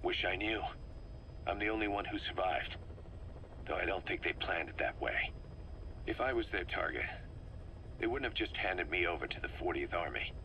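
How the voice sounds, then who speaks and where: Embittered military commander, low forceful voice, grim deliberate delivery, video game drama, faint tape noise. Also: male voice, expressive, gravelly, full character voice, poor audio. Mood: wary, urgent. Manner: grim, intense, deliberate storytelling. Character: embittered, driven male mercenary commander briefing his boss. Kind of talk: dramatic video game voice acting, recounting events.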